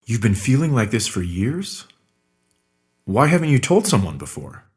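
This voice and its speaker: A man's voice: mid-range voice, very animated, moderate pace, darkish tone, natural voice. A man playing a businesslike doctor in a naturalistic audio drama, clinical and matter-of-fact. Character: businesslike male doctor questioning a patient.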